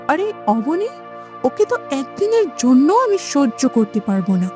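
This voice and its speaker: A woman's voice: high-pitched, dark tone. A woman voicing a haughty young princess in a fairytale cartoon, disdainful and emphatic. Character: haughty, scheming young princess, scornful of the poor. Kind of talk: melodramatic fairytale cartoon acting.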